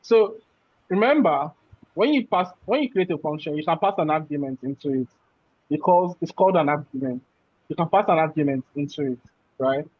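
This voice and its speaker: A man's voice: on the high side, lively intonation, fairly quick, no room sound. Man teaching a live coding class. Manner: explains step by step, often checks with "right?". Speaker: programming instructor. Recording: muffled, dull audio.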